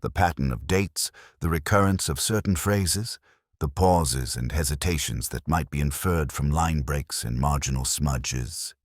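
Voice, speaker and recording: Male actor, cerebral detective, extremely low voice, contemplative scripted mystery narration, measured literary delivery, dry close sound. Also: no room sound.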